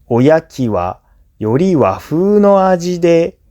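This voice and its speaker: A man who is a native Japanese speaker, reading scripted listening practice for learners in a clear, simple explanatory way. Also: a little high, sing-song, slow pace, mellow. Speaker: language teacher and narrator.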